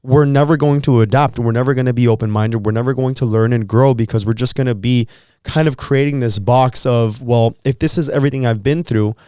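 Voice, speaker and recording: A man in his thirties talks with earnest encouragement as a podcast co-host, often repeating words while he gathers his thoughts. His voice is smooth and resonant at a middling pitch. The sound is dry and close, with no room around it. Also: steady tone, a little fast, warm tone, no echo. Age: 30s. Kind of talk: casual motivational chat.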